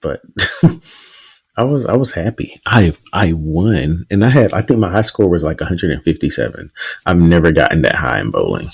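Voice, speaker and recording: A man's voice: sits low, lively intonation, moderate pace, creaky, breathy edge. A man co-hosting a podcast, chatting casually and teasing his co-host in playful banter. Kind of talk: casual chat.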